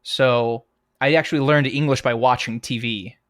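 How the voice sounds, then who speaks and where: A man's voice: mid-range voice, steady tone, moderate pace, no echo, clean studio sound. A young American man, a guest on a podcast, chatting casually and laughing often, with plenty of fillers and restarts. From United States. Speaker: guest, game composer. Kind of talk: casual interview chat.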